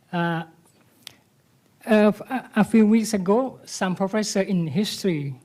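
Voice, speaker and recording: Woman, Malay speaker, low pitch, very slow halting pace, informal panel remarks. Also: warm tone. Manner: halting, searching for words. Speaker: panelist, writer. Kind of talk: informal panel remarks in non-native English.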